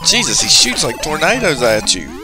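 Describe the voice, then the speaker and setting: A man's voice: on the high side, huge pitch swings, normal speed. A man giving casual, off-the-cuff commentary as he plays a retro video game, with informal exclamations and reactions. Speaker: gaming channel host. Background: retro video game music.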